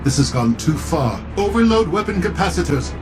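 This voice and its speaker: A male actor playing a cold, imperious war machine in a video game, speaking in a measured, formal, menacing way. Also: on the high side, very animated. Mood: menacing command. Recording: loud music and battle effects behind.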